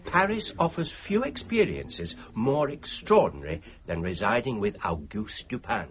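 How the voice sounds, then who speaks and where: An actor playing a worldly, avuncular older gentleman, suave and theatrical in a period drama. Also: on the low side, big pitch swings, unhurried. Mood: genial, persuasive. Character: genial, worldly older gentleman, persuasive benefactor. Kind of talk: period radio drama acting. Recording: dull recording with crowd behind. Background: crowd murmur.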